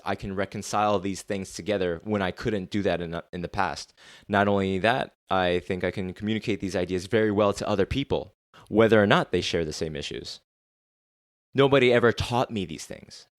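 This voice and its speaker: A man's voice: low-pitched, dry recording, clean studio sound. A young adult man hosting a solo podcast, talking about his life in a candid, earnest way and swearing now and then. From United States. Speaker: podcast host. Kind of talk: solo reflective podcast monologue.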